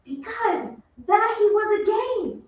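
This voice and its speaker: A woman's voice: very high-pitched, animated, takes it slowly, exaggerated voice. An actress playing a melodramatic lady of a haunted English castle, breathless and dramatic, in old-time radio style. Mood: eerie relish. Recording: echoey stage, distant mic, muffled, faint noise.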